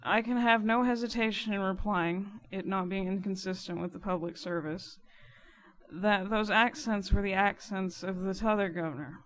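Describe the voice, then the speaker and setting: A woman voicing a languid, mocking gentleman lawyer in an audiobook, speaking with deliberate, pompous irony. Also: mid-range voice, fairly even intonation, close to an everyday voice. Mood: dry irony. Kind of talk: dramatic audiobook character reading.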